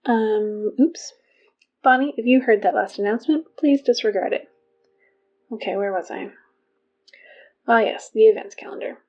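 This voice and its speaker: A woman's voice: a little high, moderate pace, pauses often, darkish tone. Dry school announcer woman, muffled lo-fi sound. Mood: brisk, official. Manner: dry, wry, matter-of-fact asides. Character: exasperated woman reading school morning announcements in an eerie town. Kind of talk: fiction podcast performed as PA announcements. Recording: dull lo-fi PA sound, music behind.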